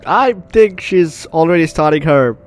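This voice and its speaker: A man's voice: a little high, lively intonation, unhurried. A man hosting a gaming video while getting over the flu, reading and reacting aloud in a dramatic way with repeated phrases. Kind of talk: let's play commentary, reading game text aloud. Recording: game music behind the voice.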